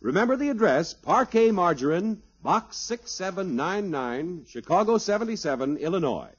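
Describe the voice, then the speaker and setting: A man's voice: high-pitched, big pitch swings, moderate pace, slightly rough. A man with an American accent announcing a 1950s radio advertisement. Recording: dull vintage 1950s radio recording.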